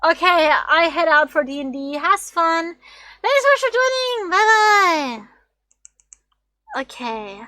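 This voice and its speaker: A woman streamer with a slightly raspy voice, rambling and thinking aloud as she draws on a casual livestream. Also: very high voice, lively intonation, takes it slowly, sharp tone, no echo, studio quality. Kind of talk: casual drawing livestream chat.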